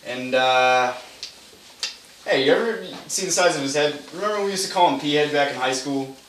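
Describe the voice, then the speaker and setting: A man's voice: on the high side, normal speed, fairly bright tone, close to an everyday voice. A young man playing a petty, gossipy guy who mocks others in a rambling, emphatic way, in an amateur stage play. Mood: petty, insistent. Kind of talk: amateur one-act stage play dialogue. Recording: echoey room, distant mic, hissy noise.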